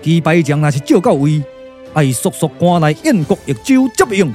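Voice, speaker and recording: A man's voice: fairly high pitch, big pitch swings, normal speed, a little mellow, soft background music. A man with a Taiwanese accent playing a composed, commanding strategist and elder brother in a puppet-theatre radio drama, declaiming in a stylised way. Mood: commanding, measured.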